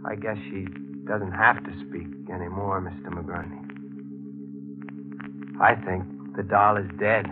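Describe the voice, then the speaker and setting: An actor playing an earnest, easily shaken young newspaper reporter in a 1940s radio mystery. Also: male voice, deep voice, fairly even intonation, measured pace, pauses often, loud music behind the voice. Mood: relieved, wistful. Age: young adult. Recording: muffled vintage 1940s radio recording.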